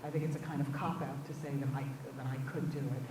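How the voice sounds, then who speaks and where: A woman with a low voice speaks as a guest in an academic discussion. She thinks aloud in halting, hedged phrases with repeated words. She is away from the mic in an echoey room with clear background noise. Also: fairly even intonation, far from the mic. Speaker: visiting guest speaker answering audience questions.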